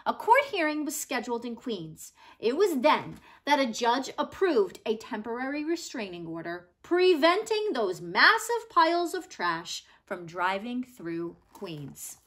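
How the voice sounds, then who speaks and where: A woman reads a story aloud in a high, bright voice. Her pitch swings in an animated way, and she leans on key words with dramatic pauses, like a storyteller reading to children. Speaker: reader of a children's non-fiction book. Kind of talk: expressive read-aloud narration.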